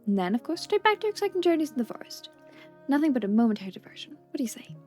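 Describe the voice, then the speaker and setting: A woman voicing a kindly male tea shop owner who speaks with formal, quaint, gently polite phrasing. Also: high-pitched, sing-song, racing, soft background music. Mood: gently persuasive.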